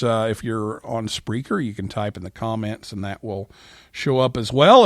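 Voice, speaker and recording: A man's voice: medium pitch, dry recording, studio quality. A man hosting a live call-in show, speaking as the presenter. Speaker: podcast and radio show host.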